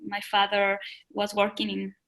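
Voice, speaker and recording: Hesitant Ecuadorian woman, creaky voice. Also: medium pitch, monotone, normal speed, a bit bright. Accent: Ecuadorian Spanish. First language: Spanish. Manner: hesitant, many repeated words and fillers. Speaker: guest. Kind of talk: remote interview answers.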